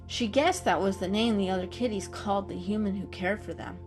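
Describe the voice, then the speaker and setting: A woman's voice: mid-range voice, brisk, soft background music. A woman giving a scripted, dramatic storybook narration as the storyteller.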